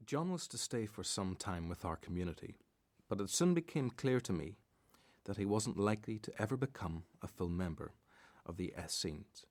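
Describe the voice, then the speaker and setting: Man acting reflective low-voiced storyteller, dry sound. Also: lively intonation, normal speed, darkish tone, dry recording, natural voice. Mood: reflective, pensive. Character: male Essene recalling his friend, reflective narrator. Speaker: narrator. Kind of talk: dramatic scripted narration.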